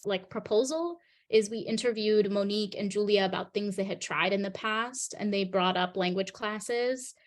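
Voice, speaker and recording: A woman facilitating a group discussion, thoughtful and affirming, often pausing to search for words. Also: medium pitch. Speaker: meeting facilitator.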